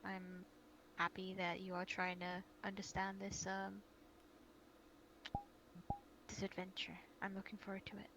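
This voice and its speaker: A woman's voice: medium pitch, monotone, measured pace, long pauses. A woman improvising in character in an online roleplay, chatting casually as a guild leader figure. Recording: clear background noise and ambience.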